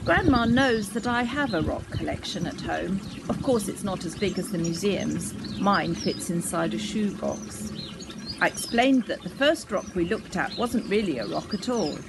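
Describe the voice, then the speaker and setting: A woman's voice: medium pitch, lively intonation, normal speed, creaky, heavy background noise. An older woman reading a children's story aloud expressively, outdoors. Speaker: storybook reader. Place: outdoors in a garden.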